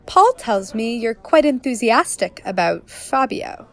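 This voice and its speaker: A woman's voice: fairly high pitch, very animated, a little slow, fairly bright tone, slight background noise, exaggerated voice. A woman playing a serious, probing investigative reporter in a documentary-style radio play. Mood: grave, intriguing. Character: earnest, suspicious undercover female investigative reporter.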